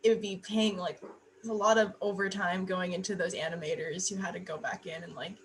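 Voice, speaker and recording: A young woman guest chatting casually, rambling and trailing off softly. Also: lowish voice, flat delivery, fairly quick. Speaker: podcast guest. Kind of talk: casual chat.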